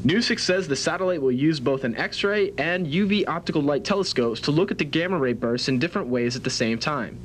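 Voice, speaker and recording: A young man with a fairly high, animated voice narrates a scripted TV news report. His intonation moves around a lot. There is faint rumbling noise in the recording. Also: a little fast, few pauses. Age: young adult.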